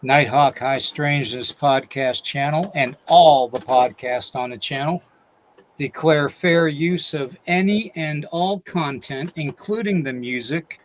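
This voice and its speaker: A man's voice: fairly high pitch, measured pace, a little hiss or hum, rough recording. A man hosting a live-stream podcast, reading a disclaimer and greeting chat viewers by name, who says he is losing his voice. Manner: reads a long disclaimer, then greets chat viewers one by one. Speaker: podcast host.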